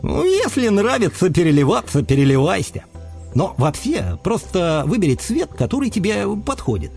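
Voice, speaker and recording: A man's voice: high-pitched, huge pitch swings, moderate pace, creaky, darkish tone, faint music behind, voice pushed up, heavily acted. A man voicing a sly, free-spirited young thief creature from a children's story, breezy and theatrical, drawing out his words. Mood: encouraging.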